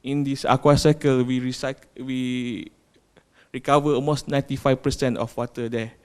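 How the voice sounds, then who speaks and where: Male presenter, clean resonant voice, halting, repeats himself. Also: medium pitch, level tone, clean tone. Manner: halting, repeats words while explaining. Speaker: company presenter. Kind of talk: technical product presentation.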